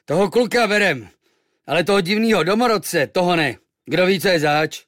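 A man voicing a crowd of rough sailors in a children's story, shouting in a raised, rowdy voice. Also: high-pitched, lively intonation, no room sound, clean studio sound, voice pushed up.

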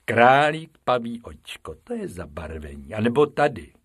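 A man voicing a gruff, mocking male zookeeper in a storybook reading, speaking boisterously. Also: medium pitch, very animated, no echo, studio quality.

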